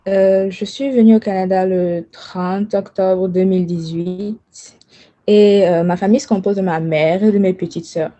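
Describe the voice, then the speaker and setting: A woman's voice: mid-range voice, steady tone, a little slow, dark tone. A young woman from Benin, a baptism candidate, explaining her reasons in a casual, informal way. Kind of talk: personal testimony.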